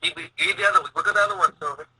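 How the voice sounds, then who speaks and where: A man's voice: sits high, very fast, pushed and bright, breathy edge. A man in a casual group chat on a noisy voice call, talking insistently and repeating himself. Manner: insistent, repetitive.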